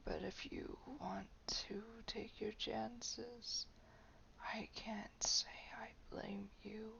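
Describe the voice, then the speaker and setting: Woman playing a slow, whispering, creaky vampire. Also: mid-range voice, steady tone, heavily acted. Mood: cold, condescending. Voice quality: breathy whisper with gravelly creak. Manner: slow, drawn-out, condescending, softly threatening. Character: haughty, menacing old vampire lurking in a castle. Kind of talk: roleplay audio drama monologue. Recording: dull, lo-fi home microphone.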